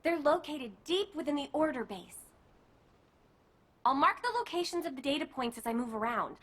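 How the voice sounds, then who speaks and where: Very high-pitched, bubbly young female scout. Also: lively intonation, normal speed, a bit bright. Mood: helpful, upbeat. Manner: bubbly, eager. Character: eager, upbeat, energetic young female scout in high-tech suit. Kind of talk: video game voice acting.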